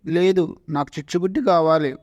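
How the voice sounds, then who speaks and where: Cartoon parody: male actor as childlike, wheedling young prince, highish male pitch, close dry sound. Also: fairly even intonation, brisk, darkish tone, no echo, lo-fi sound, natural voice. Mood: childish pleading. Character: childish, forgetful exiled young warrior prince, cheerfully pestering. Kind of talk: Telugu parody cartoon dubbing.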